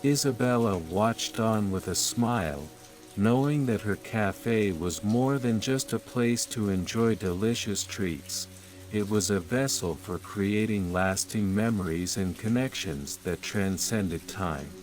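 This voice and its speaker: A man narrating a sleep story in a calm, measured, soothing way, as scripted bedtime storytelling. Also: on the low side. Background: calm music and rain sounds.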